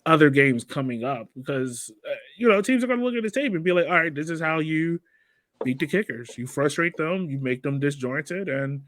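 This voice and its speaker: A man's voice: on the high side, expressive, fairly quick, a bit bright, no room sound. A man hosting a casual sports podcast discussion, talking loosely and conversationally. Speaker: host of a soccer fan podcast. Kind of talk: casual post-game discussion.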